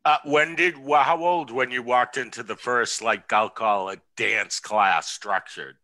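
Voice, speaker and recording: A man hosting a panel talk over a video call and asking his guests questions in an unhurried, friendly way. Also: medium pitch, sharp tone, dry recording. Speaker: host.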